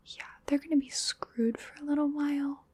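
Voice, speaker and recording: A woman voicing a playful, chatty female vampire in a whispered ASMR roleplay, breathy, soothing and wryly polite as she dotes on her prey. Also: sits high, steady tone, normal speed, mellow, slight background noise, natural voice. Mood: coaxing, smug.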